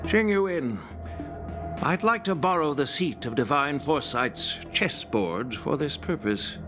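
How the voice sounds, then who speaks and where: A senior general in a fantasy game cutscene. He speaks formally and deliberately, with grave authority and the patience of a strategist. Music plays clearly behind him. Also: on the high side, animated. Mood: measured, solemn. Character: authoritative elder general, measured strategist presiding over a council. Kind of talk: scripted game cutscene acting.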